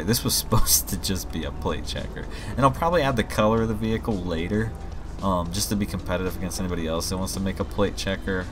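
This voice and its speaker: A man giving a casual, rambling gameplay walkthrough as he demonstrates his own game mod. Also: fairly low pitch. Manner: informal, rambling explanations. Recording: game music and vehicle sirens behind voice. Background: game music, vehicles, police sirens.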